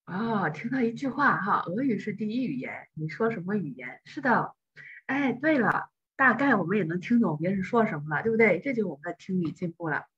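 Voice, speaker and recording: A woman's voice: mid-range voice, lively intonation, fairly quick, fairly bright tone, breathy edge, lo-fi sound. A woman teaching English in an online class, explaining patiently and step by step.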